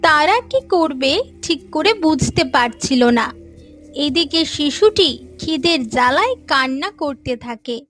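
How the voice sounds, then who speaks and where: A woman's voice: sits high, lively intonation, slow. A woman narrating a scripted story as the storyteller. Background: soft music.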